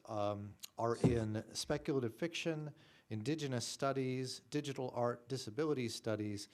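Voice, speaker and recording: A man chairing a conference panel, introducing the next speaker in a friendly tone with small stumbles. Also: medium pitch.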